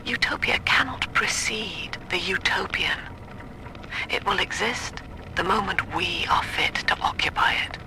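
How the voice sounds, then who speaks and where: An actress playing a cerebral utopian cult leader, delivering measured, lofty philosophy in a clinical, solemn way for a game audio log. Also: female voice, medium pitch, music in the background, close to an everyday voice. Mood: cold, contemplative. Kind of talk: philosophical audio-diary monologue. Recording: noisy, hissy vintage audio log.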